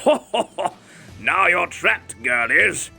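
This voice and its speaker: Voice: medium pitch, big pitch swings, slow pace, gravelly, sharp tone, slightly rough, soft background music, exaggerated voice. An actor playing a blustering, pompous guard captain in a comic fan dub, exclaiming theatrically. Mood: gloating, amazed. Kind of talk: fan dub character acting.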